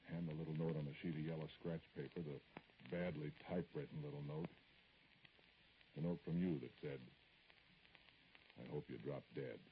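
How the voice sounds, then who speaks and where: A very deep-voiced, troubled man confides his growing dread in an old radio horror drama. He speaks haltingly and broodingly, with an irritable edge. The recording is dull, with faint noise. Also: steady tone. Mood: haunted. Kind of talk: old-time radio horror acting, first-person narration.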